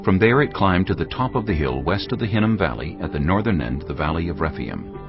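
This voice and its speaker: A man giving a measured, solemn scripted narration of scripture for an audio Bible. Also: low-pitched, expressive, muffled recording. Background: prominent background music.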